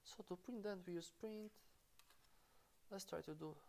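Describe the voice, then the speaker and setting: A woman from Albania teaches programming with an Albanian accent, reading code aloud piece by piece. Her mid-range voice carries a gravelly fry, and she patiently explains each step, fixing small slips on the way. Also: mid-range voice, unhurried, mellow. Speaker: programming tutor. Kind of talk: screen-recorded coding tutorial.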